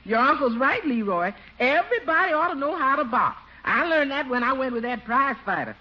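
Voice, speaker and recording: A woman's voice: high voice, sing-song, fairly quick. A woman playing a folksy, chipper, encouraging housekeeper in a 1940s radio comedy, speaking colloquially. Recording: muffled, noisy old radio broadcast.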